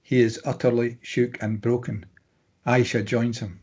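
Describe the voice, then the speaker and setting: A man with a husky voice reading stage directions as scripted narration at a table read. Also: medium pitch, little pitch movement, unhurried, darkish tone, highs cut off.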